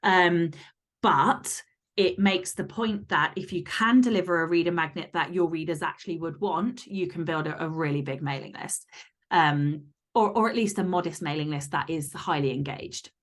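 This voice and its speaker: A woman's voice: fairly low pitch, moderate pace. A woman podcast guest giving conversational advice in a blunt, emphatic, sweary way.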